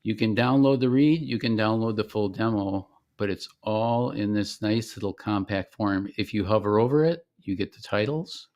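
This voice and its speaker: A man presenting a software demo in an informal, conversational way, as the founder showing his product on screen. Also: fairly low pitch, normal speed, few pauses. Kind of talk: informal screen-share demonstration.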